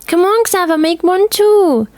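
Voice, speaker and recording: A woman's voice: very high voice, measured pace, a little mellow, dry recording, pitched above the performer's own voice. A woman voicing a playful, fearless young girl who teases her friend in a storybook audio play. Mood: cheerful. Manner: exuberant, teasing.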